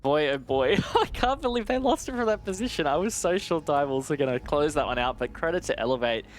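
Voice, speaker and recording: A man's voice: fairly high pitch, lively intonation, fast, a little hiss or hum. A man giving conversational, analytical live commentary on an esports match.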